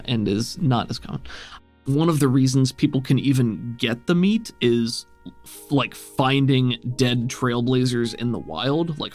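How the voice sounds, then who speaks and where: A man runs a tabletop role-playing game as its game master, in a conversational way. His voice sits at a middle pitch. Plucked guitar music plays behind him. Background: plucked guitar background music.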